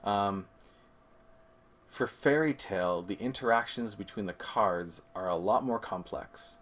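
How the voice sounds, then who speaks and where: A man's voice: fairly low pitch, a little slow, fairly bright tone. A man co-hosting a board game review, explaining things methodically in casual conversation and correcting himself as he goes. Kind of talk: casual comparison chat.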